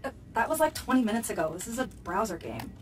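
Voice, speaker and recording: A woman's voice: on the high side, big pitch swings, fast talker, recorded from a distance, slight background noise. A woman playing a young, sardonic American hacker in a video game, casual, techy and slangy. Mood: exasperated. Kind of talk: video game cutscene acting.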